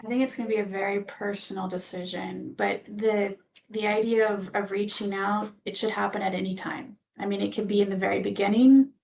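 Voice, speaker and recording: A woman talks in a panel discussion with extremely creaky, fry-laden speech. Her pitch barely moves and her phrasing is measured and hesitant. She sits slightly away from the microphone. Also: steady tone, darkish tone, far from the mic, low-quality recording. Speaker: physician assistant guest.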